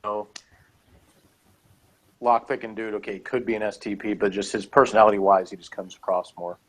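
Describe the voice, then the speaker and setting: A man's voice: fairly low pitch, fairly even intonation, moderate pace, a bit bright, poor audio. Man rambling through an opinionated casual review. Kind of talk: casual chat, episode review.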